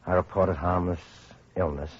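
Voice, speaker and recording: A man's voice: low-pitched, steady tone, normal speed, slightly rough. An actor playing a sober, caring survivor who narrates his hardship plainly in an old-time radio drama. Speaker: narrator and character. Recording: dull vintage radio recording, faint music.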